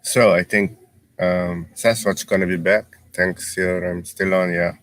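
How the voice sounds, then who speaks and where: Aruban man, native Papiamento speaker, low, slow and patient delivery. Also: steady tone, a bit bright, a little hiss or hum. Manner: unhurried, explains patiently. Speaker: live-stream viewer. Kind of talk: casual chat.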